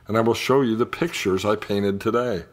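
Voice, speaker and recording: A man playing a gentle, low-voiced artist. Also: moderate pace, close to an everyday voice. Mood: tender. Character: gentle, loving artist, owner of a little cat.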